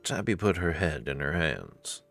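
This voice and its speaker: A woman's voice: a bass voice, animated, measured pace, over music. A woman narrating a visual novel in a scripted storytelling style.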